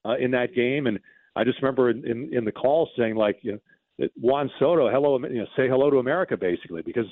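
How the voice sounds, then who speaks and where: A man's voice: mid-range voice, quick pace, dry recording. Man on a thin, phone-like line. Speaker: baseball broadcaster as interview guest. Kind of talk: conversational sports reminiscing.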